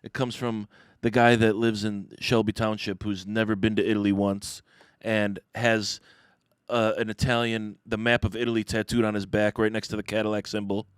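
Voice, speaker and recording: A man's voice: fairly low pitch, level tone, moderate pace, clear, resonant tone, no echo, studio quality. An American man from the Detroit area talking casually as an interview guest, emphatic and plain-spoken. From Detroit area, United States. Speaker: podcast guest, restaurateur.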